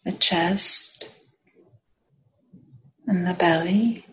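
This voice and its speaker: A woman teacher guides a meditation in a slightly low voice. She goes very slowly and gently, offering short phrases and leaving long pauses between them. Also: poor audio.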